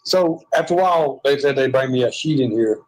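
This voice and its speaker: A man's voice: medium pitch, animated, a little fast, poor audio. A man with a Southern US accent, chatting and joking in a rambling, self-mocking way from a hospital room.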